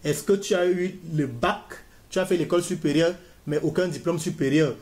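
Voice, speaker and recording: A man's voice: a little high, moderate pace, darkish tone. A man giving a step-by-step tutorial, repeating key points as he fills in an online form. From Cameroon. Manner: talks viewers step by step through a form, repeating himself. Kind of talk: screen-recorded walkthrough.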